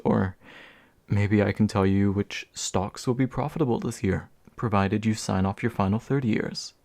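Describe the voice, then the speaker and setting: A young man acting as a courteous, gentle demon of envy in a soft-spoken ASMR roleplay, formal and soothing with occasional hesitation. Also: lowish voice, no echo, close to an everyday voice. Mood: persuasive. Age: young adult. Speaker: voice actor.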